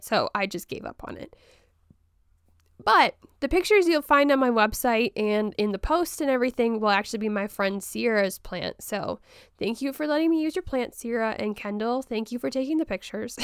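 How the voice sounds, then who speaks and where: Chatty woman host, hoarse from the flu. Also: on the high side, no room sound, studio quality. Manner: chatty, rambling, with frequent ums and light laughs. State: voice still hoarse after the flu. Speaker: podcast host. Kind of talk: casual solo podcast about houseplants.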